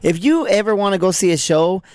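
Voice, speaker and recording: A man's voice: high-pitched, lively intonation, fairly quick, a little mellow, no room sound. A man taking part in a radio show, chatting casually. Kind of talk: casual chat. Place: radio studio.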